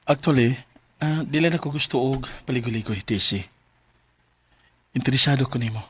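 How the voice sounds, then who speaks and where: A man playing a direct, earnest young suitor in a radio drama, with a husky voice and a Cebuano accent. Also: medium pitch, moderate pace, fairly bright tone, dry recording. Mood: earnest, wooing.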